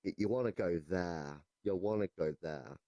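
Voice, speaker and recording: A young man improvising comedic chat in a deadpan, hesitant way. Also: deep voice, moderate pace, no echo, lo-fi sound. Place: online voice chat in a virtual reality game.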